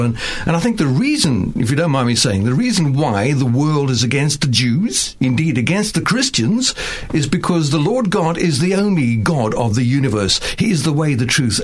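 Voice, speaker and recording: A man hosts a faith program from a studio. He speaks in a mid-pitched, earnest voice and often pauses with fillers. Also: husky. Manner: earnest, halting, with many fillers. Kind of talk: hosting a studio interview.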